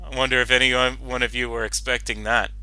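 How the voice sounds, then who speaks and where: A man giving casual reaction commentary as the author of the story, explaining in a halting, repetitive way. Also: mid-range voice, level tone, bright, forceful tone, no room sound, low-quality recording.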